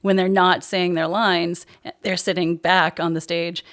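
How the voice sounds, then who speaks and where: Low-pitched American woman, interview guest. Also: level tone, measured pace, fairly bright tone. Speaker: high school theater director, podcast guest. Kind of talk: casual interview answers about a production.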